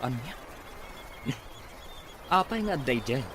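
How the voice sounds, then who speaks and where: A medium-pitched male voice with an Ilocano accent, a man acting a frank, worried young buddy in a drama serial. Clear noise runs through the recording. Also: expressive, slow. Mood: puzzled. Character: concerned, blunt young male friend giving advice.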